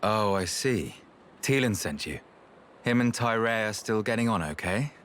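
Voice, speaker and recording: A man playing a calm, pragmatic, weary researcher in a video game, speaking in a measured, thoughtful way. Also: lowish voice, slight background noise. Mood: wry, fond.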